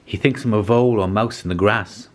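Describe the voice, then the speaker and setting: A man voicing a kindly, patient old grandpa in a storybook, knowing and gently teasing. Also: fairly low pitch, a little fast, lightly acted. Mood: hushed wonder. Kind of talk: storybook character voice.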